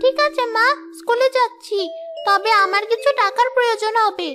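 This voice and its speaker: A dutiful young daughter from a fairy-tale cartoon, voiced by a woman. The voice is extremely high and childlike, earnest and a little anxious. Music is clearly heard behind it. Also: moderate pace. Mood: obedient.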